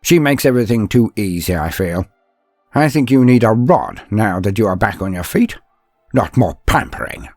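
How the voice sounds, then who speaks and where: A man voicing a stern, proud old inquisitor mentor in an audio story, speaking in a measured, commanding, instructive way. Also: on the low side, animated, darkish tone, dry recording, clean studio sound, faint music behind, pitched above the performer's own voice. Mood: approving, exacting.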